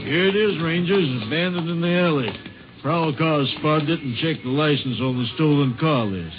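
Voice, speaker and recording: Brisk actor as a police chief, muffled sound. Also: male voice, a little high, over music, lightly acted. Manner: plain, clipped, practical. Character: brisk, matter-of-fact male city police chief. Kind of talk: 1940s-50s radio drama acting. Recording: dull old radio recording, faint hiss.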